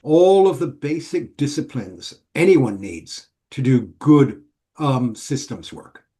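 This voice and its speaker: A man's voice: mid-range voice, animated, warm tone. Middle-aged Swiss-born man explaining. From Switzerland. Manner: measured, explanatory, listing points. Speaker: guest, author. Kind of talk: casual interview.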